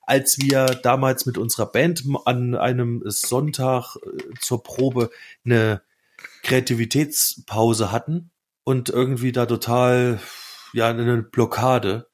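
A male podcast co-host talks in a colloquial, enthusiastic way, as if among friends. His voice is mid-pitched and rather rough. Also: fairly even intonation, slow pace. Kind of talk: casual chat among friends.

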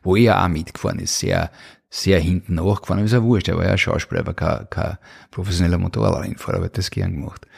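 Middle-aged man, Austrian accent, gravelly low voice, casual autobiographical storytelling. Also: steady tone, fast talker, darkish tone. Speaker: interview guest.

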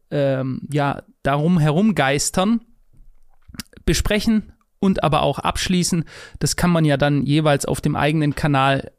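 Höherer Männerstimme, trockener Klang, wortreicher Podcast-Host. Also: male voice, on the high side, no echo, studio quality. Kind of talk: casual podcast conversation.

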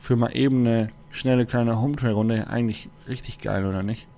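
A man's voice: mid-range voice, steady tone, a little fast, vocal fry, dark tone, a little hiss or hum. A man co-hosting a casual podcast about cycling, easygoing and hesitant with frequent fillers. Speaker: podcast co-host, sponsored cyclist. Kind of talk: casual chat.

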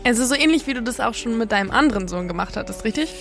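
Young woman co-hosting, slightly high voice. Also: lively intonation, racing, creaky, fairly bright tone, soft background music. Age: young adult. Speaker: podcast co-host. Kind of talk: casual conversational podcast talk.

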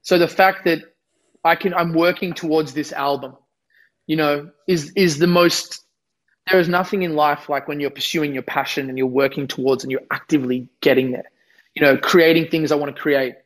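A young man talks through an interview in a fairly high voice that sounds a little worn from losing it. He rambles with lots of restarts and pokes fun at himself. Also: fairly even intonation, moderate pace, low-quality recording. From Australia. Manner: rambling, self-deprecating, many restarts. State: losing his voice. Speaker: musician guest. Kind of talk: casual interview chat.

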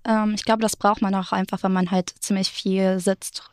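A woman's voice: medium pitch, flat delivery, moderate pace, smooth, no echo, studio quality. A woman talking casually as a podcast guest, in an informal, chatty way. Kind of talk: casual chat.

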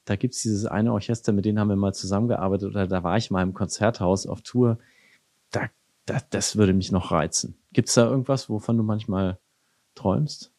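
Low-voiced man asking curious questions. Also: darkish tone, no room sound, studio quality. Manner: curious, probing questions with hesitations. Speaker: podcast interviewer. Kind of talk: casual conversational interview.

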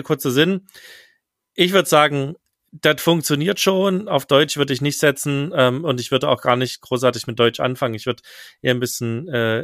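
A man of ordinary pitch chatting as a podcast co-host, rambling loosely from one point to the next. He sounds conversational and unhurried. The recording is dry, with no room around him. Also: a bit bright, no echo. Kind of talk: casual podcast chat.

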